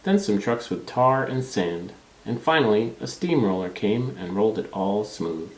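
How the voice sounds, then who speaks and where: A man's voice: lowish voice, expressive, normal speed. A man reading a children's storybook aloud as narrator. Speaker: storybook reader. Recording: roomy, echoing room sound.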